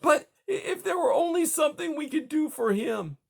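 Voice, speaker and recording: A man's voice: very high voice, expressive, moderate pace, gravelly, pitched above the performer's own voice, heavily acted. A man voicing a grieving, distraught woman who stammers through her tears. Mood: tearful. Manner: breathless, stammering, rising to hysteria. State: crying.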